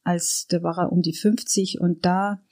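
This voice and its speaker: Casting: middle-aged woman, lower-pitched, interview guest telling personal memories in a reflective, searching way. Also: flat delivery, normal speed, a little mellow. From Iran. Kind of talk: casual personal reminiscence.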